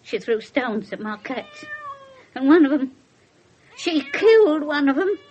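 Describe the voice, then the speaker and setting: An actress playing an aggrieved, defensive English spinster in a radio drama, speaking haltingly. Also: female voice, high voice, very animated, a little mellow, slight background noise. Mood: halting confession. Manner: halting, faltering. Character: aggrieved, defensive cat-owning spinster confessing to violence. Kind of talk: 1970s British radio drama acting. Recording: muffled 1970s radio broadcast.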